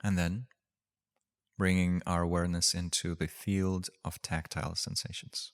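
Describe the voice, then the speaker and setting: A man leading a guided meditation as a teacher, speaking gently and unhurriedly with long pauses. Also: low-pitched, little pitch movement.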